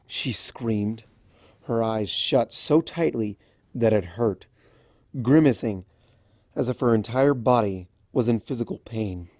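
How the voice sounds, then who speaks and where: A narrator reading a story aloud in an expressive, scripted storytelling style. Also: medium pitch, slow pace, no room sound, clean studio sound.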